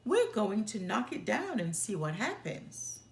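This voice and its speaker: A woman's voice: mid-range voice, sing-song, a little slow, vocal fry, echoey room, slight background noise. A woman voicing a big young male bear, a bossy, taunting gang leader in a children's storybook. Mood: gleeful.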